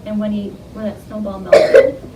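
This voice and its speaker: A woman's voice: mid-range voice, completely flat delivery, moderate pace. A woman teacher leading an interactive read-aloud for young children, instructing, asking questions and repeating their answers back. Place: classroom with children. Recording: roomy, distant mic, loud classroom noise.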